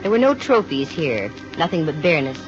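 A woman's voice: deep voice, big pitch swings, fairly quick, over music. An actress playing a wry female private investigator in an old radio detective drama, with a dry, wisecracking manner. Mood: wry narration. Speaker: lead actress and narrator. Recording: muffled old 1950 radio recording.

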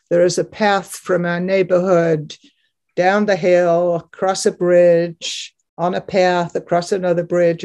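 An older woman gives an informal tour narration in an unhurried, slow voice. She sounds chatty and a little hesitant, as if talking through slides. She is indoors on a computer microphone in a video call. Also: on the low side, darkish tone. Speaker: gardener giving a garden tour.

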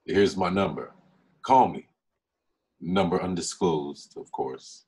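A man reading the part of a smooth, mysterious, smirking online flirt in a film table read, deep-voiced, terse and probing. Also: slow, a little mellow, heavily acted. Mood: smooth, flirtatious.

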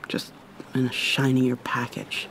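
A woman's voice: a bass voice, monotone, measured pace, a bit bright, a little hiss or hum, full character voice, low-quality recording. A woman playing an irritable, haughty but secretly caring vampire mermaid in an ASMR roleplay, sardonic and formal with exasperated sighs.

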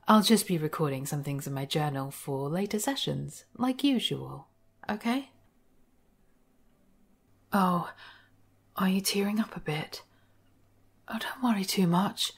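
A woman playing a manipulative, obsessive therapist in an intimate ASMR roleplay, speaking softly and coaxingly, often in a whisper. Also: lowish voice, husky, full character voice. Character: manipulative, obsessive female therapist, soothing and sly. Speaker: voice actor in audio roleplay. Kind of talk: intimate scripted ASMR roleplay, addressed to the listener.

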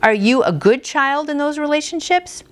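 A woman's voice: sits high, lively intonation, normal speed. A woman in her fifties hosting a devotional, reading aloud in an earnest, conversational way. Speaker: devotional host. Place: small furnished room at home, indoors.

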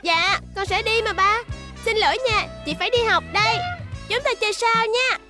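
A very high, childlike voice a little robot girl in a cartoon dub. She is playful, mischievous and insistent, with clearly audible music behind her. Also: moderate pace, bright, forceful tone. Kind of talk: cartoon dubbing.

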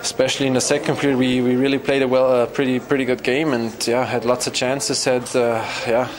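A young man, a team captain, giving a halting post-game interview in an arena corridor. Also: mid-range voice, level tone. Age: young adult. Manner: halting, repeating words as he searches. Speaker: ice hockey team captain. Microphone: handheld interview mic.

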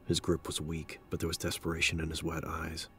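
A man narrating an audiobook in a measured, solemn, scripted dramatic style. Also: rumbling low, completely flat delivery, soft background music.

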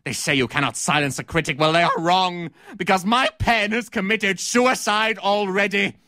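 A man's voice: medium pitch, lively intonation, a little slow, pushed and bright, no echo, clean studio sound. A man playing a haughty, embittered female theatre critic in a pompous, melodramatic comic stage soliloquy. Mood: ranting, despairing. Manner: pompous, precisely worded, melodramatic. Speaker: actor performing a comic monologue.

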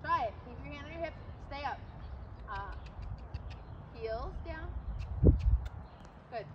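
Riding instructor, woman, short clipped instructions, high-pitched, recorded on a phone in an outdoor arena. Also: animated, slow pace. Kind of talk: coaching a riding lesson. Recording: lo-fi audio with loud outdoor noise.

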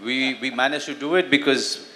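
A middle-aged man, answering press questions on a stage with a handheld mic, halting and full of fillers. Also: medium pitch, steady tone, bright, forceful tone, a little hiss or hum. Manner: halting, many fillers and restarts. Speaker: actor on a press panel. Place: large hall on a stage. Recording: long hall reverb.

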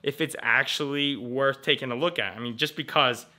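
An American man chatting casually as a vlogger, in an informal, animated and jokey way. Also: medium pitch, normal speed. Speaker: vlogger, host. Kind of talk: casual vlog chat.

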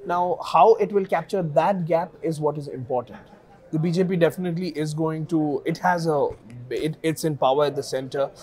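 A man in his thirties, an analyst guest in a news studio interview, speaking in a measured way with many fillers on a lapel mic. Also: fairly high pitch, a little mellow.

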